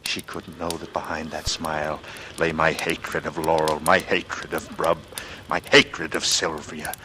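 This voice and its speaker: A man's voice: extremely low pitch, flat delivery, unhurried, sharp tone, full character voice. An actor playing a brooding, bitter man with murder hidden behind a casual front, in a 1940s radio drama. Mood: bitter, anguished. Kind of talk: 1940s radio drama acting, first-person narration and dialogue. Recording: noisy old radio broadcast recording.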